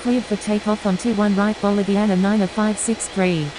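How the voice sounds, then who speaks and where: A woman's voice: medium pitch, flat delivery, moderate pace, natural voice. A woman playing a matter-of-fact airport radio voice in a simulation game, speaking clipped, formulaic aviation phrases. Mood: businesslike. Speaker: air traffic control and pilot radio voice. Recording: hissy, clearly noisy radio-style audio.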